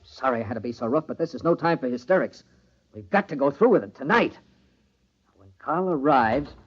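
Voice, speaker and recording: A husky, desperate radio-thriller schemer. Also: male voice, mid-range voice, expressive, brisk. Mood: tense, startled. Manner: urgent, bossy, clipped orders. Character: desperate, commanding male lover covering up a killing. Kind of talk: old-time radio thriller acting. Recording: dull, lo-fi old radio recording.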